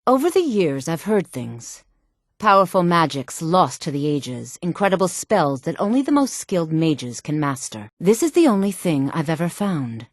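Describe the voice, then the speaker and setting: Sultry haughty sorceress for a fantasy game, really low voice, lively swoops, formal and bossy. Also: female voice, moderate pace, dry recording, clean studio sound. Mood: confiding. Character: sultry, haughty woman mage of a fantasy realm. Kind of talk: video game character voice acting.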